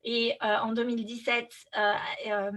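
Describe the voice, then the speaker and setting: A woman's voice: a little high, level tone, unhurried, fairly bright tone. A woman hosting a podcast in her own language, speaking casually with many fillers and restarts. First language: French. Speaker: podcast host. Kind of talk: casual conversational interview.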